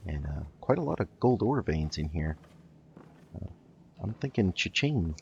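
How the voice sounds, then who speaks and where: A man's voice: deep voice, big pitch swings, unhurried, creaky, muffled recording, slight background noise. A man hosting a casual let's play, thinking aloud hesitantly as he goes. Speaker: gaming video host.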